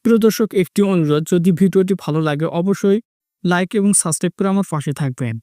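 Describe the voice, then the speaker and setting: A man's voice: high voice, quick pace, dark tone, no room sound, clean studio sound. A man narrating a step-by-step tech tutorial, repeating his instructions as he goes. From Bangladesh. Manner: repetitive instructional phrasing.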